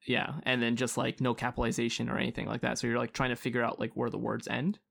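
Gravelly man chatting with lots of fillers. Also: mid-range voice, completely flat delivery, fast talker, fairly bright tone. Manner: hesitant, many fillers and restarts. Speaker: podcast co-host. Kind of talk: casual chat.

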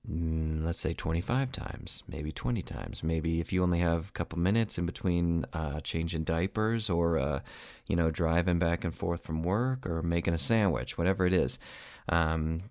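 A man who is an opera singer and voice teacher, giving a casual podcast lesson, relaxed and rambling with many fillers. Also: sits low, normal speed, no echo, clean studio sound.

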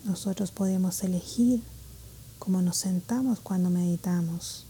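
A woman guiding a meditation in a calm, gentle, measured way, with a soft voice that turns breathy at phrase ends. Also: fairly low pitch, slight background noise. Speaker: meditation guide. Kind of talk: guided meditation narration.

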